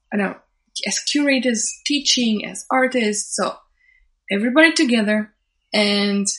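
Woman, non-native English, Portuguese first language, searching for words, a bit higher pitched. Interviewee. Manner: thoughtful, halting, restarts phrases while searching for words. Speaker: event organizer and social scientist. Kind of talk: interview conversation.

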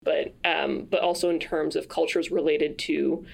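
Lady from france chatting as a podcast guest, lowish voice, kinda halting and thoughtful. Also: steady tone, gravelly. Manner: thoughtful, many fillers and restarts. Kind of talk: casual conversational interview.

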